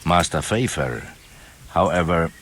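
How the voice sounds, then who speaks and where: An actor playing a grim, guarded, world-weary knight with a formal, measured and ominous way of speaking, in a video game. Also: male voice, deep voice, expressive, fairly bright tone, slight background noise. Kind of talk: dramatic video game acting. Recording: music behind the voice.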